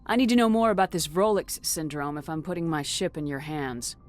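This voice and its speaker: A woman playing a composed, direct military starship commander in a video game, speaking in a calm and probing way. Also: low-pitched, brisk, soft background music, close to an everyday voice. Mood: probing, cool.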